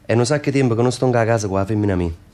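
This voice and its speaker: A boisterous market hawker , acted by a man for a radio play. He delivers his street-seller patter theatrically, chanting and repeating his calls in a middle-pitched male voice. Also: very fast, mellow, natural voice. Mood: animated, colloquial. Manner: repetitive chanted sales calls. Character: boisterous working-class male potato vendor hawking at market.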